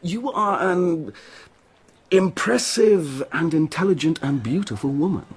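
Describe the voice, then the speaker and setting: An actor playing a clever, persuasive and courteous official in a radio drama, with a wry, deliberate manner. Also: male voice, a little high, expressive, breathy edge, heavily acted. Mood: wry, courting. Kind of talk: intimate radio drama dialogue.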